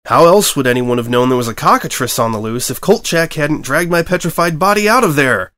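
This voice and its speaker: A man plays a male pony newspaper reporter from a storybook, keyed up and anxious. He rambles nervously and leans into eager politeness, with his pitch a little raised. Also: animated, brisk, fairly bright tone. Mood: indignant. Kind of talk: storybook character voice.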